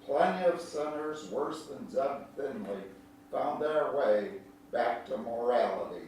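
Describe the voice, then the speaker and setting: A man's voice: medium pitch, animated, slow pace, darkish tone. An actor playing a folksy older country man, a kindly, teasing storyteller with a homespun drawl, in a theatrical staged reading. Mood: wise, reflective. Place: large echoey indoor room at a staged reading. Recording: echoey hall, distant mic, faint noise.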